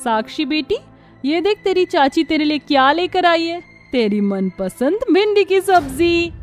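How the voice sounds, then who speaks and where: Woman doing a shrill-pitched, scheming cartoon aunt. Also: sing-song, moderate pace, faint music behind. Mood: falsely coaxing. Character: scheming, mocking aunt and sister-in-law. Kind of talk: Hindi cartoon voice acting.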